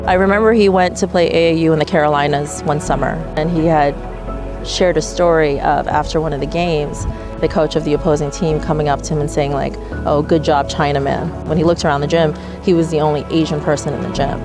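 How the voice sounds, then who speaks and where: A middle-aged woman, a parent, calmly telling stories in a documentary interview. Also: sits low, a little fast. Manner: calm storytelling. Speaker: parent of the player. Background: guitar music.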